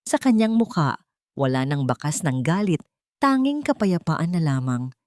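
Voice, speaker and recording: A woman reading a scripted love story as a narrator, in a dramatic, sentimental and expressive way. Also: low voice, moderate pace, no room sound.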